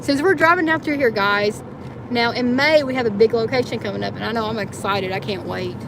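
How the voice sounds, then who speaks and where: A woman's voice: a little high, brisk, vocal fry. A woman with a Kentucky-tinged Southern Indiana accent, co-hosting a paranormal livestream and chatting casually with viewers from a car. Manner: chatty, teasing, addresses viewers. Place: inside a car on country roads. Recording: road and engine noise.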